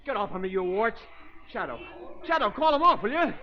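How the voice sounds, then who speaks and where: An actor playing a scrappy, excitable young American pilot with slangy talk, in 1930s radio drama style. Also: male voice, high voice, fairly quick, noisy background. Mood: alarmed, pleading. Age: young adult. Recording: dull old radio recording, crowd clamour behind.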